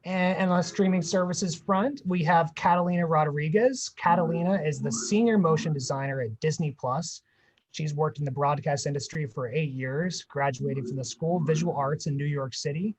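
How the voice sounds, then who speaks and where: A man's voice: fairly high pitch, normal speed, a little mellow, slight background noise, low-quality recording. A man from Pittsburgh guest-hosting a video panel talk, reading upbeat prepared introductions. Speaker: guest host.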